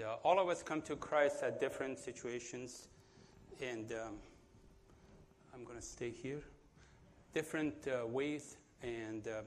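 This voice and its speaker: A man in his fifties from Iran, with an Iranian accent, gives his testimony in a church, pausing often with fillers and restarts. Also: medium pitch. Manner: halting, full of fillers and restarts. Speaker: guest speaker giving testimony.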